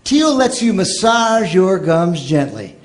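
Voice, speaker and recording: A male announcer reads in the style of vintage radio. His voice is high for a man and very lively, rising and falling widely through scripted copy. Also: slow. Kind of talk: old-time radio commercial and scripted narration.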